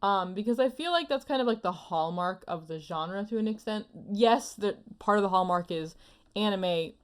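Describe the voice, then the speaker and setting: A woman's voice: mid-range voice, expressive, moderate pace, clear, resonant tone. Effusive woman chatting casually. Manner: effusive, emphatic, rambling. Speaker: podcast co-host. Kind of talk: casual opinionated chat.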